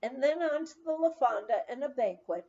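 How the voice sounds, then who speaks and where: A woman reading a humorous first-person memoir aloud as an audiobook, with animated, expressive storytelling. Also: high-pitched, moderate pace, muffled recording. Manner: animated storytelling, acting out quoted dialogue. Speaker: audiobook reader.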